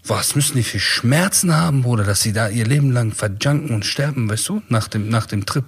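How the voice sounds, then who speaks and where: A man's voice: medium pitch, a little fast, slightly rough. A man in his forties from Iran, co-hosting a podcast and chatting casually in street slang, calling his partner brother. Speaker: podcast co-host. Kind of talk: casual chat.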